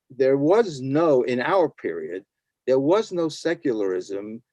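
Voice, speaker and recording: Older man, deliberate, dry-sounding scholar. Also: medium pitch, a little mellow, dry recording. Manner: explanatory, deliberate. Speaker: professor on a panel. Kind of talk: academic panel discussion.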